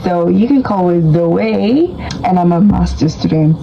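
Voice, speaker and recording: A woman's voice: lively intonation, a little slow. Chatty young woman vlogging, lo-fi. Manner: chatty, playful, laughs often, bursts into high-pitched emphasis. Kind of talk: casual chat to camera. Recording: dull lo-fi sound with clear background noise.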